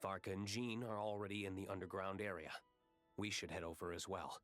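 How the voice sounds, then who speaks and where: An actor playing a cool, serious young gentleman fighter in a video game, measured, reserved and dryly matter-of-fact. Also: sits low, steady tone, over music, natural voice. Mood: urgent, serious.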